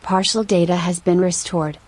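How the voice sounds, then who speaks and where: A woman's voice: lowish voice, fairly even intonation, moderate pace, darkish tone, close to an everyday voice. A woman voicing an impersonal computer system in an audio drama, giving clipped, formal, emotionless announcements. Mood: impersonal, alerting. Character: impersonal female computer system voice issuing alerts.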